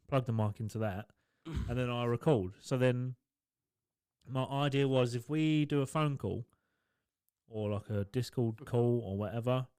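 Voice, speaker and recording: A man's voice: mid-range voice, darkish tone, clear, resonant tone, no room sound, clean studio sound. A young man co-hosting a casual podcast, swearing often and laughing as he tells his story. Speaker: podcast co-host. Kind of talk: casual chat. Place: home garage. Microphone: studio podcast mic.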